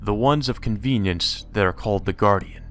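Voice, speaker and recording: A man with a fairly low voice reads game text aloud as a let's player. Music plays faintly behind him. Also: normal speed, fairly bright tone.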